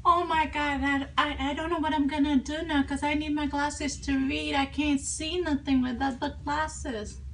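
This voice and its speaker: A woman's voice: high-pitched, flat delivery, normal speed, gravelly, a bit bright. A young woman speaking casually, making prank phone calls from a small room at home. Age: young adult. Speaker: prank caller. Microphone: camera a few feet away. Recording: distant microphone with faint noise.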